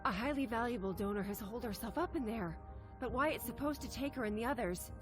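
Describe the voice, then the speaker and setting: An actress playing a chatty, harried female salon manager with an informal, expressive delivery in a video game. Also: a little high, a little fast, lightly acted. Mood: harried, explanatory. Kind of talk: video game drama acting. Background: game music.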